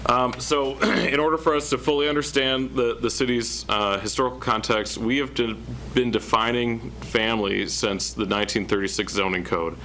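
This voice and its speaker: A man presenting as a city planning director at a public meeting, in a self-described monotone with many uh fillers. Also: mid-range voice, moderate pace, a little hiss or hum. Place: council chamber.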